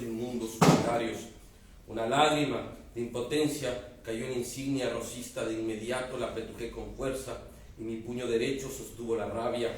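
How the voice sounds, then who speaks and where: A man with an Ecuadorian accent, an author presenting his novel at an embassy event and reading a passage aloud with growing dramatic emphasis. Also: medium pitch. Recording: echoey room, distant mic, faint noise.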